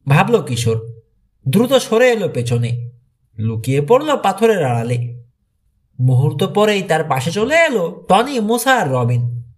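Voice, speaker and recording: A man reading a mystery thriller audiobook as dramatic, expressive scripted narration. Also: high-pitched.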